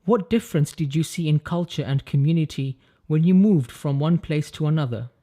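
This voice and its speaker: A man's voice: fairly high pitch, fairly quick, warm tone. A man interviewing a guest, reading a formal introduction and asking composed questions.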